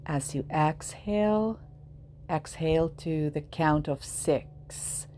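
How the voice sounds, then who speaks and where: Female meditation guide, soft mellow tone, extremely unhurried and soothing, with faint ambient noise behind. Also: low voice. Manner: soothing, measured, with long pauses between short phrases. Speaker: yoga nidra guide.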